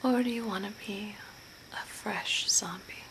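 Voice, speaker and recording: A young woman playing an eerie, calm zombie makeup artist in a soft breathy whisper, gently giving instructions in an ASMR role play. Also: mid-range voice, close to an everyday voice. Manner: slow, deliberate, gently instructing. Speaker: ASMR host. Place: small room at home by a window. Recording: noisy home recording, background hiss. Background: clear background noise.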